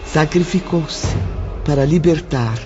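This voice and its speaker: A man's voice: a little high, takes it slowly. A man narrating a dramatic audiobook in a scripted storytelling style. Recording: dull lo-fi, music behind.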